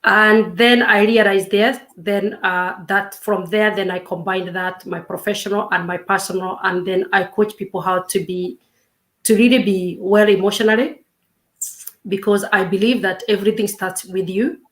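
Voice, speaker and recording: A woman in her fifties, a coach, speaking as an interview guest in a measured, reflective way with frequent pauses and restarts. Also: medium pitch, fairly even intonation, a bit bright, poor audio. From Kenya. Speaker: guest, self-discovery coach. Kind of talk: casual interview chat.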